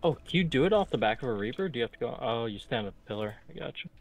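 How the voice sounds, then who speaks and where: A man's voice: medium pitch, lively intonation, very fast, creaky, low-quality recording. A young man chatting casually with his teammate during a game.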